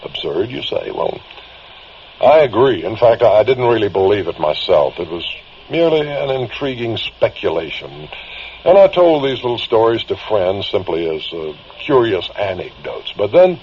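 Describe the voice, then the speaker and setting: Actor as an animated investigator, dull vintage recording. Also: male voice, medium pitch, close to an everyday voice. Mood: reflective, speculative. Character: earnest male amateur investigator recounting uncanny time anecdotes. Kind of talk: vintage radio drama narration. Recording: dull, slightly noisy vintage radio recording.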